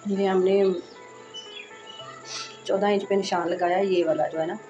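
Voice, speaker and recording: A woman hosting a sewing tutorial, speaking in a casual, reassuring way while she explains each step, with a hoarse voice from a sore throat. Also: mid-range voice, fairly even intonation, unhurried, lo-fi sound, over music. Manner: chatty and reassuring, frequent "accha ji".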